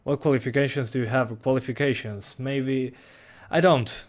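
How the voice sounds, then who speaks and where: Relaxed young man with Rioplatense accent. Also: mid-range voice, normal speed. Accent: Rioplatense Spanish. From Uruguay. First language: Spanish. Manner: relaxed, reads questions aloud, chuckles often. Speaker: streamer answering chat questions. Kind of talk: casual live stream Q&A.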